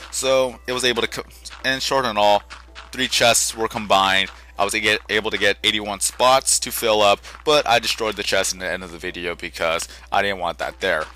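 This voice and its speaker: Tired young man, deep and bright. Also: fairly even intonation, moderate pace, rough recording. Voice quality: soft, quiet voice. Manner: rambling, self-correcting chatter. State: tired from little sleep. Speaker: gaming channel host. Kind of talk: casual gameplay commentary. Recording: game music faintly underneath. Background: faint game music.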